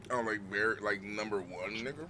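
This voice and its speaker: A man in his thirties, a streamer chatting casually, joking and swearing through lively banter. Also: fairly low pitch, a little fast, a little hiss or hum. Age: 30s. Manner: joking, profane, animated banter. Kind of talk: casual chat.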